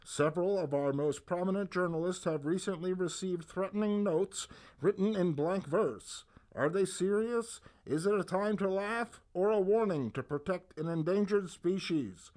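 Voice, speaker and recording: A man's voice: sits high, normal speed, a little mellow, pitched above the performer's own voice, close to an everyday voice. A man voicing an earnest, alarmed reader of a news bulletin in a dramatic comic book reading. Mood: alarmed urgency.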